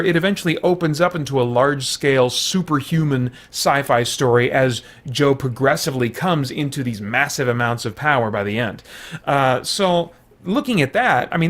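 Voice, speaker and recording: A man hosting a casual, unscripted movie review in an informal, rambling way with animated asides. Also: a little high.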